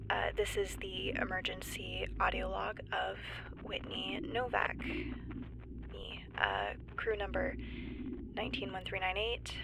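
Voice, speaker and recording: A woman playing a nervous, wry stranded geologist in a sci-fi audio drama, halting and breathy, often near a whisper. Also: mid-range voice, lively intonation, background noise, heavily acted. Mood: nervous, tentative. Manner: halting, awkward, wryly understated. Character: stranded woman, nervous civilian geologist keeping an emergency log. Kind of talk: found-footage sci-fi audio drama monologue.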